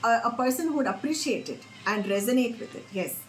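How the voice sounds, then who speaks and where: Higher-voiced Indian woman in a roomy space. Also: animated, a little fast, faint music behind. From Singapore and Bombay, India. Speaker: interview guest, Carnatic singer. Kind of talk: conversational interview answers.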